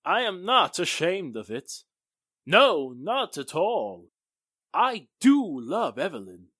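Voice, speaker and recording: A man acts out an indignant, lovestruck gentleman in an old-style radio play. His delivery is slow and emphatic, swinging dramatically in pitch, with a husky, forceful edge. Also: big pitch swings, no room sound, exaggerated voice. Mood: defiant, ardent. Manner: theatrical, emphatic. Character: earnest, lovestruck male suitor, indignant and dramatic.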